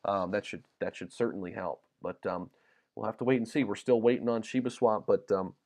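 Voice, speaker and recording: A man with a fairly low voice, from the United States, chats casually on a livestream and fills his talk with ums and restarts. His voice sounds a little worn, as if he is slightly losing it. He reads viewer comments and answers them in an easygoing way. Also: brisk. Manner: relaxed and chatty, many ums and repeated words. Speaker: crypto livestream host.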